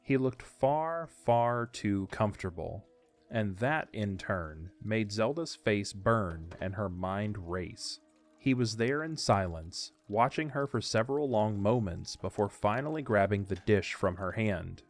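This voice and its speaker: A man narrates a scripted story. His voice sits fairly low. Music runs behind the narration. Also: unhurried.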